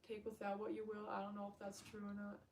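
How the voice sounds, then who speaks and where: A woman's voice: mid-range voice, monotone, distant mic, a little hiss or hum. A woman from Minnesota with a Minnesotan accent, chatting casually and rambling on a livestream. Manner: rambling, chatty, fast talker. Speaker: ASMR livestreamer.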